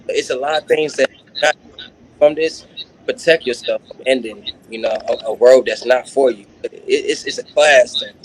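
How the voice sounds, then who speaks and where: Halting man with a medium-pitched voice. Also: a little hiss or hum, low-quality recording. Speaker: discussion participant. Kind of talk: casual chat.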